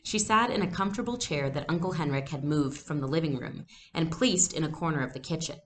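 A young adult woman giving a read-aloud lesson, explaining things deliberately as a teacher. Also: low-pitched. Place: small room at home. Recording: noisy home webcam recording.

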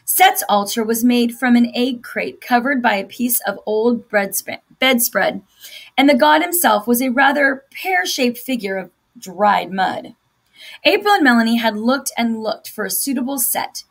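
Higher-voiced woman reading a children's book. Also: lively intonation, measured pace. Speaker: teacher reading a book aloud to students. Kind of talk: scripted narration, children's novel read-aloud.

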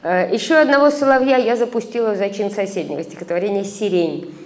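A middle-aged woman reading an academic paper aloud at a conference, measured, with hesitant fillers and recited verse. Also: mid-range voice. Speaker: conference speaker, translator. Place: conference hall, indoors. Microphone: stand microphone at a lectern. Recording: reverberant hall, faint background noise.

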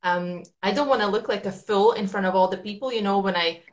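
A woman's voice: medium pitch, brisk, muffled recording. A woman from Latvia with a Latvian accent, talking as a forex trader in a casual video-call interview, chatty with lots of fillers. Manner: chatty, laughs often, many fillers. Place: small indoor room at night. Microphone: video call webcam.

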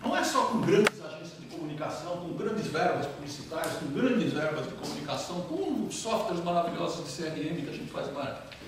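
A middle-aged man in his fifties giving an animated, interactive lecture and questioning his audience, recorded from a distant camera in a large brick-walled lounge. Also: on the high side, fairly quick. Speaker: guest speaker. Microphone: far camera, no mic. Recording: echoey room from far off, noisy.

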